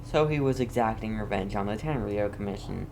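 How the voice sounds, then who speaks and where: A man's voice: on the low side, fairly quick, noisy background. A man giving casual playthrough commentary as a gamer, reacting and musing aloud.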